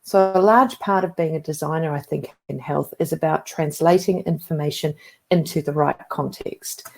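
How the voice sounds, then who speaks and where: A woman's voice: low-pitched, moderate pace, creaky. A woman with a New Zealand accent giving a conference talk, telling stories in a conversational way with frequent asides. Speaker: conference speaker.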